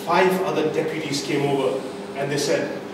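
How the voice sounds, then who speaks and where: A man telling a comic tall tale as a speech to a live audience, with theatrical pauses and an emphatic build-up. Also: a little high, steady tone. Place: indoor meeting room, recorded from the audience. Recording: distant microphone, echoey room, background noise.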